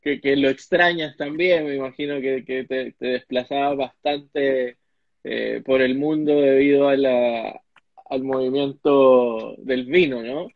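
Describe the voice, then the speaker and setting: A man's voice: mid-range voice, steady tone, a little slow, no room sound. A young adult man interviewing a guest on a video call, polite, often repeating and stumbling over his words. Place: home office with boxes behind. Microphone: video call, webcam. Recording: dry, dull lo-fi call audio.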